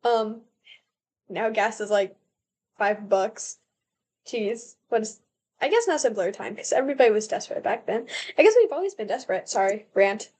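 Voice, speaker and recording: A woman's voice: medium pitch, lively intonation, normal speed, darkish tone. A young woman with an American accent, reacting casually to a video and thinking aloud in a rambling, chatty way. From born in Canada, raised in the US. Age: young adult. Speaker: video reactor, college student.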